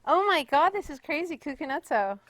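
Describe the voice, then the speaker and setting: A woman's voice: sits high, big pitch swings, a little fast, background noise. A woman musician chatting casually as an interview guest, informal and loose in her phrasing.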